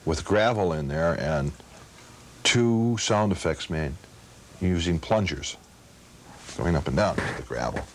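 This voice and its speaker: Deep-voiced man in casual interview. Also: lively intonation, slow, pauses often. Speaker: old-time radio enthusiast interviewee. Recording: faint background noise.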